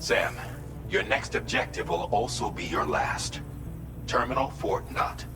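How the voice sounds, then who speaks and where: An actor playing a sober mission commander in a video game briefing, formal, measured and authoritative, heard at a distance in a roomy space. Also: male voice, sits low, lively intonation. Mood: serious, instructing. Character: sober male mission commander giving orders. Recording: distant, roomy sound under music.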